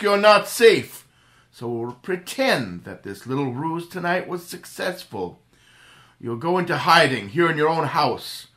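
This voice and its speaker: A man's voice: fairly high pitch, sharp tone. A man playing a cool, suave playboy sleuth. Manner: cool, commanding, reassuring. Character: suave, calm playboy crime-fighter, adult man. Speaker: radio drama actor. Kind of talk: 1930s radio drama reenactment.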